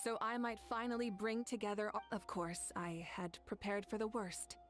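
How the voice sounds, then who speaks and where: A woman playing a dignified, dutiful warrior queen in a video game, speaking earnestly and deliberately. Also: mid-range voice, fairly even intonation, faint music behind, natural voice. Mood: resolute, grave.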